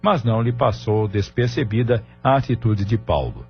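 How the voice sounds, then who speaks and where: A man's voice: lowish voice, expressive, moderate pace, breathy edge. A man reading scripted narration for an audio drama as its narrator. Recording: muffled studio narration over music.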